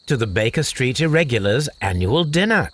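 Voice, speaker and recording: An actor playing a brilliant, eccentric Victorian English detective, formal, precise and dryly teasing, in a video game. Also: male voice, medium pitch, sing-song, normal speed, fairly bright tone. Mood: wryly amused.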